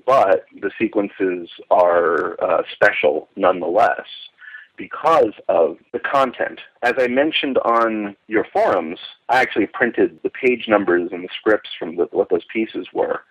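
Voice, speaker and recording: A man explains things informally as a commentator, circling back and rephrasing as he goes. His voice is on the low side, and the recording is muffled and lo-fi. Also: a little slow, fairly bright tone, slightly rough. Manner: rambling, repeats and rephrases himself. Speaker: commentator on radio drama history. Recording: dull, lo-fi voice recording.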